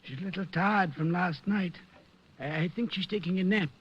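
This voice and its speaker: High-voiced, husky, flustered butler. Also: male voice, moderate pace. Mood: deferential, flustered. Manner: deferential, hesitant, proper. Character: prim, flustered, easily embarrassed butler. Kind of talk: 1940s-50s radio acting. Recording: muffled old radio recording.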